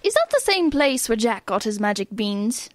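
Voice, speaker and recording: A woman voicing a small, quick-witted leprechaun council member who quips matter-of-factly in a comic radio play. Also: fairly high pitch, sing-song, no room sound, studio quality. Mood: wry.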